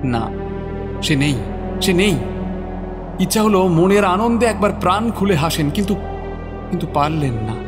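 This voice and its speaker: A man's voice: sits high, animated, moderate pace, long pauses, music in the background. A man narrating a scripted story as a dramatic narrator.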